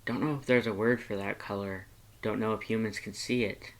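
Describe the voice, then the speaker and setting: A man voicing a laid-back, gentle skeleton monster with a casual, understated way of talking, in a storybook reading. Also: lowish voice, fairly even intonation, a little fast, lightly acted. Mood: reverent, soft.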